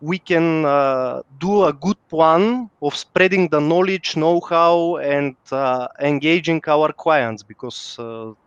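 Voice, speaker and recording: Bulgarian guy on a work panel, clean smooth voice, searches for words, dull lo-fi call audio. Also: on the high side, no room sound. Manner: halting, searching for words in non-native English. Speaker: panel guest, head of PMO at a bank. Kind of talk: interview answer on a work topic.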